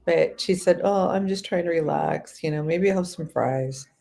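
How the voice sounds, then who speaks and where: A woman's voice: fairly low pitch, moderate pace, creaky, dark tone. A woman chatting bluntly on a live stream, telling stories and swearing casually. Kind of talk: casual chat.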